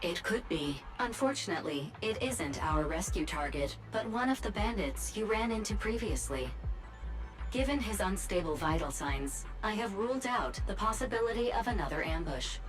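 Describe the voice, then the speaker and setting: A woman voicing a polite, composed AI assistant in a game, formal and measured. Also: mid-range voice, lively intonation, music in the background, close to an everyday voice. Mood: calm, formal. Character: polite, composed female AI assistant serving her master.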